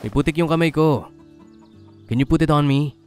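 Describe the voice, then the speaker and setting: A man's voice: on the high side, moderate pace, a little mellow, smooth, faint music behind, close to an everyday voice. A man playing a rich, confident young ranch owner's son in a radio drama, speaking tersely and mixing English with Tagalog. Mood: curt.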